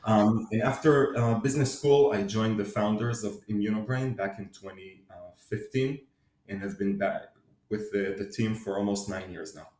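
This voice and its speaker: A middle-aged man from Israel, a guest in an interview, answering in a measured, reflective way with some fillers. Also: fairly low pitch, darkish tone. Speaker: guest, company finance executive. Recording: roomy echo.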